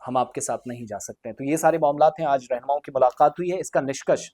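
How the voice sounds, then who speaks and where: A man's voice: medium pitch, quick pace, dark tone. A man giving unscripted news commentary to viewers, explaining and restating his points in Urdu-heavy wording sprinkled with English. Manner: Urdu-heavy vocabulary mixed with English words.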